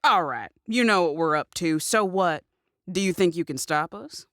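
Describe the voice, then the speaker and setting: A woman's voice: fairly low pitch, animated, normal speed, fairly bright tone, no room sound. Woman playing a feisty young outlaw, dry sound. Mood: defiant. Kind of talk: western audio drama acting.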